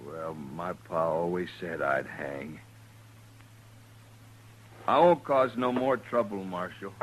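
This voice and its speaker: An actor playing a rough, hard-drinking bone gatherer in a radio western, with a folksy, plain-spoken rural American way of talking. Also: male voice, deep voice, takes it slowly, a little hiss or hum, rough recording. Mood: contrite. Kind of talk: 1950s radio western acting.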